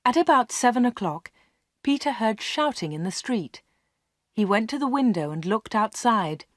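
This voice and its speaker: Female narrator, clear measured storybook reading, dry close sound. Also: on the high side, animated, dry recording. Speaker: audiobook narrator. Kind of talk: scripted story narration for English learners.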